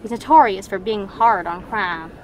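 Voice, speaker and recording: A woman's voice: mid-range voice, lightly acted. A woman playing a plain-spoken, folksy Wild West townsperson in an audio drama, with some background noise. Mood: gossipy. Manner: folksy, drawn-out idioms. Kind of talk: western audio drama acting.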